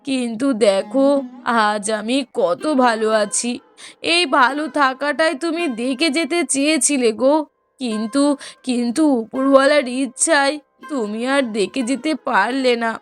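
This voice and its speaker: Casting: woman to play a sorrowful aged mother for a moral cartoon, plaintive, drawn-out delivery, longing and weary. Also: high voice, soft background music. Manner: slow, plaintive, drawn-out lamenting. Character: grieving elderly widowed mother longing for her late husband. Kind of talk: Bengali moral-story cartoon voice acting.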